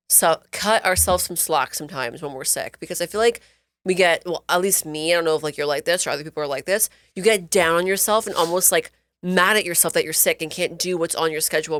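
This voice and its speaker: A woman's voice: lowish voice, a little fast, fairly bright tone, no room sound, clean studio sound. A woman co-hosting a podcast in casual chat, sounding stuffy and nasal from a cold, full of "like" fillers. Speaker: podcast co-host.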